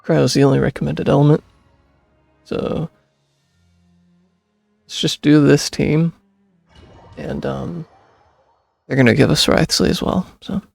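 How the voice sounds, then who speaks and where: A man's voice: fairly high pitch, steady tone, unhurried, vocal fry, pauses often, no echo, faint music behind. A young man casually commenting while he plays a game, with offhand, musing remarks. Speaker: gameplay streamer.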